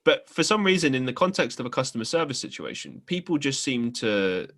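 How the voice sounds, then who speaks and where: A man hosting a podcast interview, chatting casually in an animated, self-deprecating way. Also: mid-range voice, a little fast. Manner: animated, self-deprecating, frequent laughs and restarts. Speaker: podcast host.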